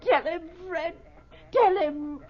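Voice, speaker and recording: A woman's voice: very high-pitched, takes it slowly. A woman acting an anxious, fussing middle-aged English working-class mother in a radio horror drama. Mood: distraught, pleading. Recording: muffled old radio broadcast.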